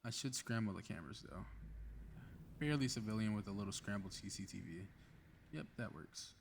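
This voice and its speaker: A man's voice: lowish voice, expressive, moderate pace, gravelly, slight background noise. A man playing a cocky, playful superhero in a flirty ASMR roleplay audio drama, with casual teasing banter. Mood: muttering, self-doubting.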